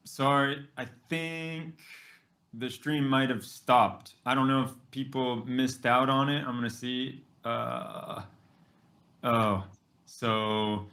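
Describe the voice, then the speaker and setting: A conference host breaks in to explain a streaming problem. The speech is slow and unhurried, halting with small fillers, and comes from a phone. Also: medium pitch, fairly bright tone. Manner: apologetic, hesitant.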